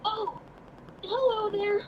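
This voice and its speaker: Very high childlike little dragon. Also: female voice, fairly even intonation, takes it slowly, distant mic, muffled recording, slight background noise. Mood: shy, excited. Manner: earnest, eager. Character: small young dragon, shy, eager, childlike, innocent.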